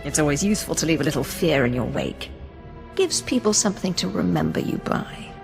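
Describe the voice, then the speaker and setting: A woman's voice: sits low, expressive, brisk, vocal fry, over music. A woman playing a cool, commanding master in a video game, dryly sardonic and coldly amused by cruelty. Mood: darkly amused. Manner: dry, imperious, sardonic. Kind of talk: video game character dialogue.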